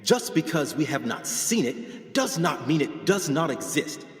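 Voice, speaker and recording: An actor playing a proud, passionate 1920s explorer and scholar in a radio play, speaking in oratorical style. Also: male voice, a little high, big pitch swings, unhurried. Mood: grand, persuasive. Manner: declamatory, oratorical. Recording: echoey hall with long reverb.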